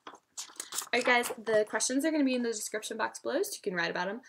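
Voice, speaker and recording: A woman reading a storybook aloud for children in an expressive, instructional teacher's manner. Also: fairly high pitch, fast, noisy background.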